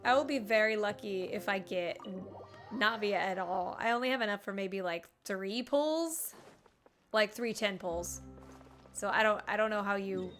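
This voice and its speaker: A woman giving casual live gameplay commentary as a streamer, excitable, with teasing asides. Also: mid-range voice, measured pace, soft background music. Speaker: game streamer.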